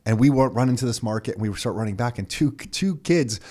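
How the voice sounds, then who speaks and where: A man's voice: medium pitch, lively intonation, a little fast, warm tone. A Canadian man co-hosting a podcast, telling a story in a rambling, self-correcting way and laughing as he goes. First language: Croatian. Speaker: podcast co-host. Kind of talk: casual storytelling chat.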